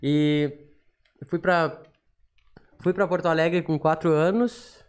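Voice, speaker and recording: A man's voice: a little high, steady tone, slow, clean tone. A man in his thirties with a southern Brazilian gaucho accent, talking casually as a podcast guest. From Rio Grande do Sul, southern Brazil. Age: 30s. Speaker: podcast guest, self-taught accordion player and teacher. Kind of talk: casual storytelling in an interview. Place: small studio, indoors. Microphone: podcast microphone on boom arm, talking through cloth mask.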